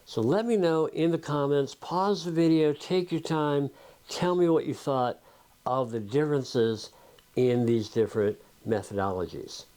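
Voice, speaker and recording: A man's voice: fairly high pitch, measured pace, darkish tone. A man hosting a video, talking informally to viewers in an explanatory, conversational tutorial style. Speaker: video host.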